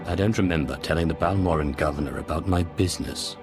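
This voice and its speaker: An actor playing a cool, commanding male dark lord in a video game, speaking in a measured, curt, imperious manner. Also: low voice, music in the background, lightly acted. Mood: cold, composed. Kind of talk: scripted game cutscene acting.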